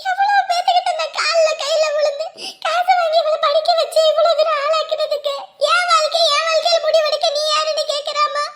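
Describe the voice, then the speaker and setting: A woman doing a shrill, ranting fish-seller mom. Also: flat delivery, fairly quick. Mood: scolding. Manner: loud, shrill, complaining rants. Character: shrill scolding middle-aged mother, a fish seller. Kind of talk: one-person family comedy skit.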